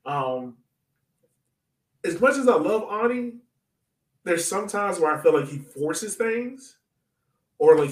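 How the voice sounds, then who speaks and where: Man, slightly high voice, casual sports podcast co-host, recorded in an echoey room. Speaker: co-host of a soccer fan podcast. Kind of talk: casual sports chat, match breakdown. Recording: echoey room, far from mic.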